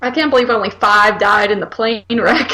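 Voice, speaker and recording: A woman co-hosting a podcast in casual movie chat, blunt and slangy, quick to argue her point. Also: mid-range voice, bright, forceful tone. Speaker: podcast co-host.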